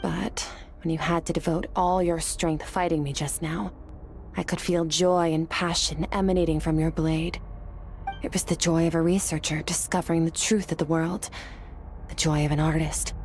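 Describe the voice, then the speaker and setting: An actress playing a calm, resolute female swordswoman in a video game, cool and composed. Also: low-pitched, normal speed, a little hiss or hum.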